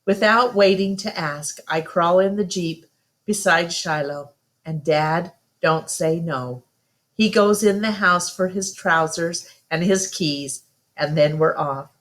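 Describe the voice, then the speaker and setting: Slow-paced woman reading a story aloud. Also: on the low side. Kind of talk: read-aloud narration of a first-person story.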